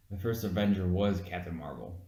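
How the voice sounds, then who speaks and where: A man's voice: deep voice, gravelly, warm tone. A man co-hosting a casual movie podcast, talking bluntly and argumentatively and swearing freely. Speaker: podcast co-host. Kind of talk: casual movie review chat. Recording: echoey room.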